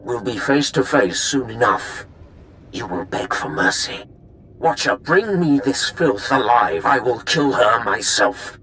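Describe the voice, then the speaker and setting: An actor playing a vengeful, menacing Sith lord in a video game, with a gravelly, commanding, threatening delivery. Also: male voice, mid-range voice, lively intonation, a little slow, pushed and bright, slight background noise. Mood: vengeful fury.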